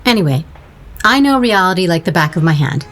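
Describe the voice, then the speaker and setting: A woman's voice: lowish voice, expressive, a little fast, a bit bright, soft background music. An actress playing a blunt, wry woman friend in an audio drama, dryly sarcastic and coaxing. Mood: prodding.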